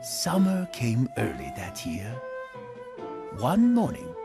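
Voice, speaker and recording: A storyteller giving a scripted folktale narration with dramatic, deliberate phrasing. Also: mid-range voice. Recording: music behind the narration. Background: prominent music.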